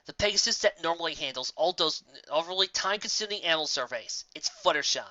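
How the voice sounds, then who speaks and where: A woman's voice: low-pitched, animated, fast, pushed and bright, no echo, dull audio. A woman voicing a temperamental pegasus mare in a storybook reading, exasperated and emphatic. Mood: firm correcting. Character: temperamental, fed-up pegasus mare, earnest and outraged.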